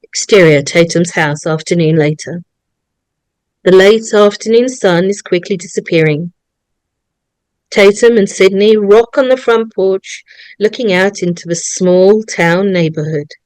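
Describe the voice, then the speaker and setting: Slow-paced female narrator. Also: mid-range voice, a little mellow, smooth, dry recording. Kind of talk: reading screenplay stage directions aloud.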